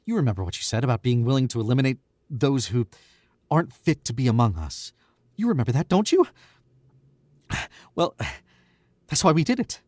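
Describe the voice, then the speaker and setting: A man's voice: mid-range voice, sing-song, brisk, long pauses, a little mellow, no room sound. A man playing a stammering, pleading murderer. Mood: justifying. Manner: stammering, pleading, self-justifying. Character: nervous young male murderer, pleading. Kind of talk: 1940s radio acting.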